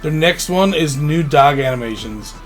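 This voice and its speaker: A man speaks in a slightly raised register with an informal, slangy delivery. He sounds like a podcast co-host in casual banter. There is soft music underneath. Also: fairly high pitch, lively intonation, normal speed. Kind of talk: casual banter about gaming news.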